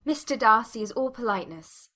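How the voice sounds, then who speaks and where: An actress playing a spirited, self-possessed young gentlewoman in a dramatized audiobook, arch and articulate. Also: a little high, animated, a little fast. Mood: politely firm.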